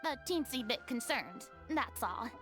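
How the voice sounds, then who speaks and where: A woman's voice: on the high side, animated, measured pace. An actress playing a proud, theatrical young woman who is flustered and stammers, then turns formal, in a game. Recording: game audio with faint music.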